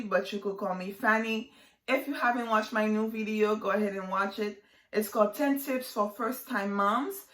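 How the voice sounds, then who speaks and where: A woman's voice: medium pitch, fairly bright tone. Chatty woman in her twenties, roomy sound. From Haiti. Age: 20s. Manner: chatty, lots of fillers and likes. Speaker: vlogger. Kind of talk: casual vlog chat to camera.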